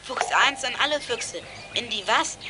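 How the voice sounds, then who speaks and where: A woman's voice: fairly high pitch, moderate pace, a crowd in the background, lightly acted. A young woman acting a plucky youngster who leads a children's detective gang in a German radio play. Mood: brisk, confident.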